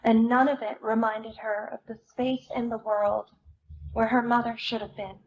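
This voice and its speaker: A woman giving a dramatic reading of literary fiction, with a measured, deliberate delivery. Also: on the high side, flat delivery, darkish tone, lo-fi sound. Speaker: theater performer reading a book excerpt aloud.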